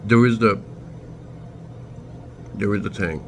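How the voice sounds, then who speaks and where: A young man with a Turkish accent talks to the camera in a deep, low voice, stopping and restarting his sentences as he searches for words. Steady background noise runs under his speech. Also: gravelly. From Turkey. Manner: halting, restarts, self-corrects often. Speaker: vlogger. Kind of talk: casual storytelling. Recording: noisy background ambience.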